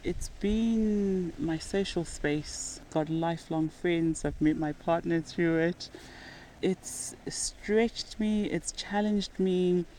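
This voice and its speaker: A woman's voice: sits low, dark tone, a little hiss or hum, rough recording. A woman with a Zimbabwean accent, a podcast guest talking in an earnest, reflective way and laughing now and then as she tells stories. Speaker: guest, nurse and rock climber. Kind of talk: podcast interview conversation.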